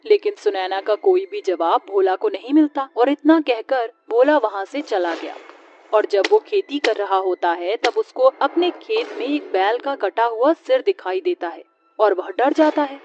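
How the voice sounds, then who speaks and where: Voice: mid-range voice, lively intonation, a little slow. A storyteller narrating a scripted horror story in the manner of a tale read aloud. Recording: dull lo-fi audio, faint noise.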